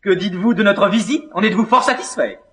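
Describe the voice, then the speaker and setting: An actor playing an amused young gentleman suitor who teases, in a classical French stage comedy with a roomy, echoing sound. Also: high voice, quick pace. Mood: amused, teasing.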